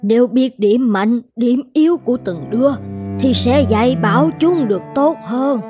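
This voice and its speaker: A woman voicing a caring, thoughtful aging wife in an animated folktale, speaking in a gentle, measured way. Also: fairly high pitch, music in the background, natural voice. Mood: supportive. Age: older.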